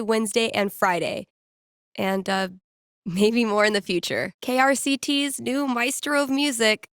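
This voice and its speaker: A woman's voice: fairly high pitch, no room sound. Wry young female DJ for a narrative game: casual, sardonic, playful, very dry close studio sound. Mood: upbeat, playful. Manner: sardonic, deadpan asides, playful mock grandeur. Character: wry young woman radio DJ and record store clerk. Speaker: radio DJ. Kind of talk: scripted game dialogue, casual radio patter.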